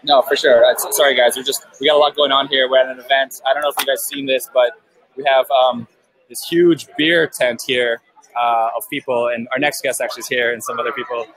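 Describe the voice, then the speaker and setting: A man's voice: medium pitch, level tone, a little fast, fairly bright tone, poor audio. Casual host, male, loose and chatty, headphone mic at a crowded event, fillers everywhere. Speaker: live stream host interviewing guests. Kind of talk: casual live interview. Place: busy outdoor event beer tent.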